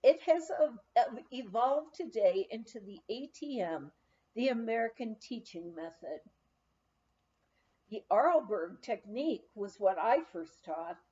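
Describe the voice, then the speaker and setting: A woman reading a memoir aloud in a halting manner, sometimes stumbling over words and going back over them. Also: fairly high pitch, lively intonation, mellow, muffled recording. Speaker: volunteer audiobook reader.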